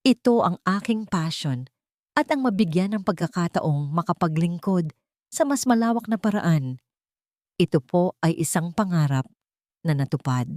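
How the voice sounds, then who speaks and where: A woman's voice: fairly low pitch, a little mellow, dry recording, clean studio sound, natural voice. A woman voicing a humble, earnest woman speaking politely and respectfully, with a Filipino accent. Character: humble, earnest woman gratefully accepting a great honour.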